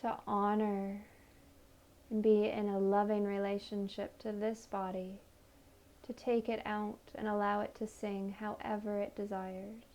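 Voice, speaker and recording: A woman leading a guided meditation in a soothing, gentle manner, offering unhurried invitations. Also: mid-range voice, level tone. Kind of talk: guided meditation, body scan narration.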